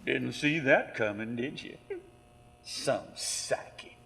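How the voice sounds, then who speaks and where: An actor playing a sadistic killer sheriff with a theatrical, taunting drawl in a horror audio drama. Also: male voice, medium pitch, takes it slowly, vocal fry, a bit bright. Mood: quiet, scheming. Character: sadistic cannibal killer sheriff, a man, smugly menacing. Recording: long hall reverb, music underneath.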